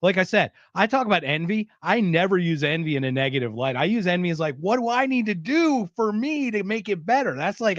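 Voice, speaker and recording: A man's voice: fairly high pitch, dry recording, dull audio. Chatty, rambling male podcast host. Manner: rambling, self-interrupting, frequent restarts. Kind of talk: casual chat.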